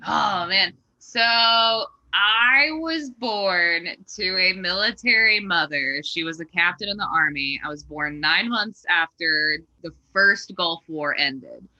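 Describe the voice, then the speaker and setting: A woman's voice: lowish voice, bright, forceful tone, dull audio. A woman in her twenties from Texas, a stand-up comedian telling her story as a podcast guest in a chatty, darkly joking way. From Texas, USA. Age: 20s. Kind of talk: casual conversational storytelling.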